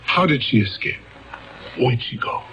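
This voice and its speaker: An actor playing a shrewd, pressing male police lieutenant in a radio drama, deliberate and coaxing in delivery. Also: medium pitch, expressive, sharp tone, slightly rough, full character voice. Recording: dull radio recording with music.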